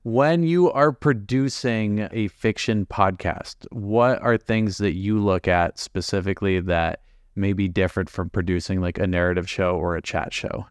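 A man's voice: deep voice, a little slow, clean tone, dry recording, studio quality. A man hosting a podcast interview, asking questions conversationally with many "likes". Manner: conversational, uses "like" often. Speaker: podcast host.

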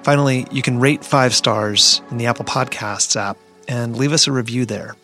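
A man hosting a political podcast, closing the episode with a steady scripted read. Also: mid-range voice, moderate pace, faint music behind. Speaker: podcast host. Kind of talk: podcast outro.

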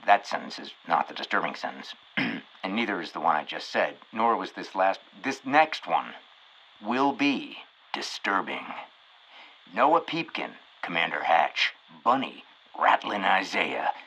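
Low, raspy, theatrical announcer. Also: male voice, sharp tone, full character voice, low-quality recording. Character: Jackson Styles, solemn, theatrical male storytelling announcer. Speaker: narrator. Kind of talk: mock-serious scripted narration.

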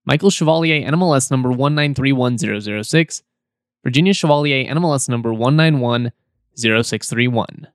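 A man hosting a sports podcast in casual chat, his voice slightly hoarse, chatty and repeating words as he goes. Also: medium pitch, fairly quick, no room sound, studio quality. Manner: chatty, repeats words, laughs now and then. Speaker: podcast host.

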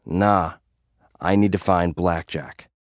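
A young gladiator influencer with a low voice, recorded close and dry. He is jaded and puts up a tough front, telling his story in first person with casual, slangy confessions, like an audio drama lead. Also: steady tone, slow pace, dry recording. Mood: guarded, determined.

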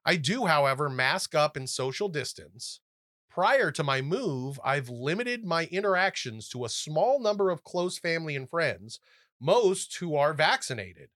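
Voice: mid-range voice, big pitch swings, unhurried, fairly bright tone, dry recording, studio quality. A podcast host chatting and reading out a listener's letter, chatty and encouraging. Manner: chatty, encouraging, laughs often.

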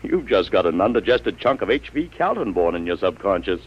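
Low, lively voice, a worried stammering dad, dull lo-fi old radio drama. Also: male voice, a little fast, husky, heavily acted. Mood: bewildered. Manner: stammering, incredulous. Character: worried, bewildered middle-aged father. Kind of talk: 1940s radio drama acting.

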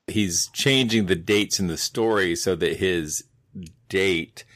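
A man's voice: low-pitched, slow pace, a bit bright, no echo. A man co-hosting a podcast, giving brief, responsive reactions. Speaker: podcast co-host. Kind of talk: casual chat.

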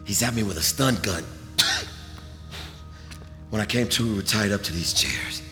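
A frightened captive pleads in a lowish, shaken voice. Music rolls behind his panic in this horror radio play. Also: animated, measured pace, bright, forceful tone. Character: frightened captive deputy named Bradley. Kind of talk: radio drama acting.

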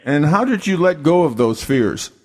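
A man's voice: on the high side, sing-song, normal speed. A man hosting a radio interview, asking questions in an easygoing way with frequent uh fillers. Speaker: radio host.

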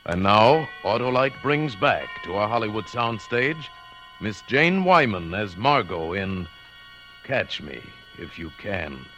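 A man announcing a 1940s radio thriller in a formal, measured, dramatic scripted style. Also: lowish voice, sing-song, a bit bright. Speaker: show announcer. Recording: vintage 1940s radio broadcast, music behind.

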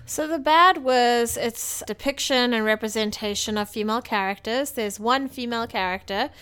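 A woman with a fairly high voice co-hosts a podcast. She chats casually about films and states her opinions with emphasis. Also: moderate pace, a bit bright, clear, resonant tone. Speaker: podcast co-host. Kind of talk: casual film review chat.

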